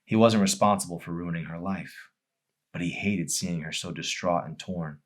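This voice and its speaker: Low voiced guy narrating a story, dramatic audiobook style. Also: moderate pace. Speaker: author reading own novel aloud.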